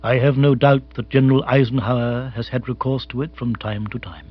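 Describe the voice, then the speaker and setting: A male reader puts on a calm English manservant from a comic storybook. He is deferential and exact, with a deadpan formality and learned wording, in a middle-pitched voice that is slightly husky. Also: dull audio, lightly acted. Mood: calm, precise. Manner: formal, measured, deadpan, erudite. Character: imperturbable, deferential English manservant, dry and precise.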